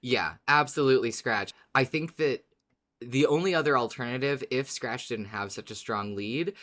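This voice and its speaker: A woman with an exceptionally low voice co-hosts a casual podcast. She talks informally and effusively, happy to swear as she goes. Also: moderate pace, fairly bright tone. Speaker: podcast co-host.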